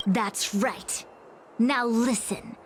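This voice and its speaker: A woman voicing a solemn, fatalistic master diviner in a game, speaking in a measured, lecturing way. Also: on the high side, a little hiss or hum. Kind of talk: scripted game voice acting. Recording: background music under the voice.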